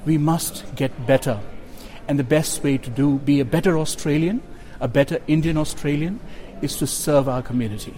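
A man's voice: on the high side, normal speed, mellow, a little hiss or hum. A man giving an earnest, oratorical speech. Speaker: award speech giver.

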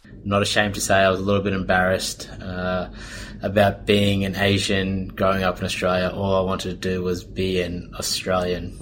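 Deep monotone man, candid acceptance speech, faint ambience. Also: moderate pace, slight background noise. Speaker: award speech giver.

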